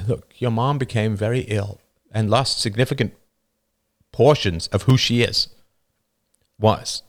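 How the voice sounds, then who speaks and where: A man voicing an earnest adult male counselor who reasons in quick, urgent bursts. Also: on the low side, pitched above the performer's own voice.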